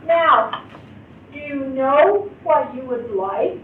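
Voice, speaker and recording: High-voiced lady teacher, talks real slow, echoey classroom from far off. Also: lively intonation, narrow, tinny audio. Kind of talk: classroom teaching, role-play. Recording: echoey room, distant mic, faint noise.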